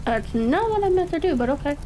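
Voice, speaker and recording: A woman hosting a livestream, chatting casually and musing aloud to herself in an unhurried way. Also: sits high, sing-song, mellow, a little hiss or hum. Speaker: streamer and host of a reading session.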